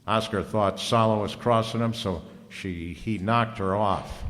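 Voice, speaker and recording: A low male voice rings through a big hall. It is a man playing a cool private detective from an old radio mystery, slangy and hard-boiled, tossing out lines with sardonic confidence. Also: steady tone, a little slow, a bit bright. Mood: accusing, sardonic. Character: cool wisecracking private detective, narrating his own case. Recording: reverberant hall.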